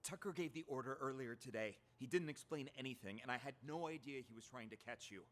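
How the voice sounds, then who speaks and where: Man playing a gossipy mid-pitched policeman. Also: fast talker, natural voice. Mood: informative, curious. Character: gossipy subordinate policeman, eager to explain. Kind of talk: audio drama acting.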